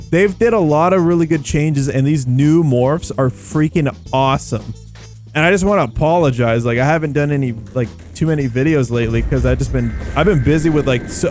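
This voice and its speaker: A man giving casual gameplay commentary in an excitable, slangy, rambling way, often repeating phrases as he thinks aloud. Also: a little high. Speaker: video game YouTuber and host. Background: background music.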